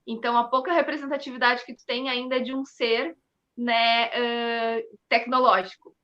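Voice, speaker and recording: A woman talking reflectively as an interview guest, haltingly, thinking aloud with frequent checking tags. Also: a little high, flat delivery, a bit bright, highs cut off. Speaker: theatre company member, interview guest.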